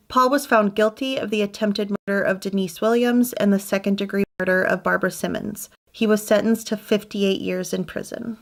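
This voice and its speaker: A woman hosting a true crime channel, narrating a scripted case story in a matter-of-fact way. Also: mid-range voice.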